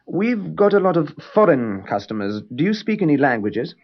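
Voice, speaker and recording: An actor playing a male managing director who slowly and deliberately questions a job applicant in a scripted course dialogue. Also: a little high, sing-song. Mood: questioning. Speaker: company boss in a language course dialogue. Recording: dull lo-fi course tape.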